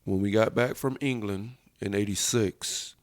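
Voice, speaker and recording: A man on a podcast, casually and slowly telling stories about his work on sound systems. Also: lowish voice, dry recording.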